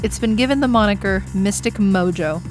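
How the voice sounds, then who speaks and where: A woman voicing a confident female bunny prosecutor in an animated courtroom story, composed and sardonic. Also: medium pitch, brisk, close to an everyday voice. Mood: matter-of-fact. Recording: clear music behind the voice.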